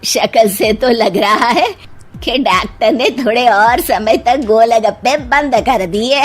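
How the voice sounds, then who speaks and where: Slow, sarcastic nagging mother-in-law, voiced by a woman. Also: fairly high pitch. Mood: taunting. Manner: taunting, drawn-out sarcasm. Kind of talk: animated Hindi moral story voice acting.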